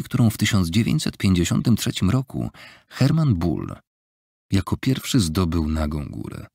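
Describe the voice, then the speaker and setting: A deep-voiced man narrates an audiobook in a measured, even way. His voice is gravelly and a little husky. The recording is dry and close, with no room around it. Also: no room sound. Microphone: close studio mic, dry.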